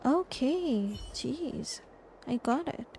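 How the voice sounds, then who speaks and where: A woman's voice: sits high, expressive, very slow, mellow, a little hiss or hum, rough recording. A woman with an Indian accent livestreaming a game, reading its text aloud and reacting casually. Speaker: game streamer.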